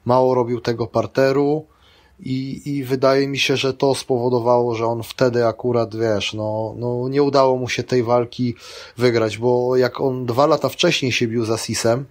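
A middle-aged man talks casually as an interview guest. His speech rambles through restarts and fillers at an ordinary middle pitch. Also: level tone, moderate pace, darkish tone. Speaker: guest, grappling athlete and coach.

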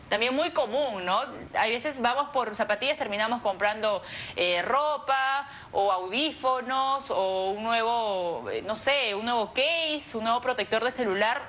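A woman's voice: fairly high pitch, fairly bright tone. A young woman with a Peruvian accent hosting a TV segment, asking questions and reading out viewer queries conversationally. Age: young adult. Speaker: TV news host.

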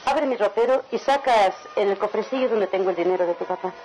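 A woman's voice: mid-range voice, brisk, a little mellow, close to an everyday voice, poor audio. An actress playing an anxious, protective middle-aged mother in a melodramatic radionovela. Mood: guarded.

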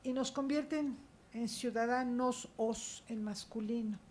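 A woman's voice: fairly high pitch, unhurried, a little mellow, a little hiss or hum. A woman panelist speaking emphatically, checking in with rhetorical questions. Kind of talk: academic panel talk. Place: university auditorium panel.

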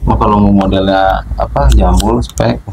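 A man's voice: on the low side, fairly even intonation, moderate pace, creaky, background noise. A young man, a barber, chatting and joking while he cuts a customer's hair in a small shop. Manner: chatty, joking, with bursts of laughter. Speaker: barber and show host. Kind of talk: casual chat while cutting hair.

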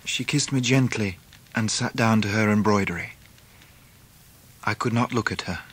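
A man playing a grown English narrator recounting his boyhood in a radio drama, in measured literary phrases. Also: on the low side, a bit bright, husky. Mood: wistful.

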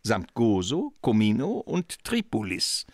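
An actor playing a sly, talkative older gentleman crime boss in a radio drama, with a pompous, courtly, lecturing manner. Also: lowish voice, huge pitch swings, slow, no room sound, studio quality, heavily acted. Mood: relishing, lecturing.

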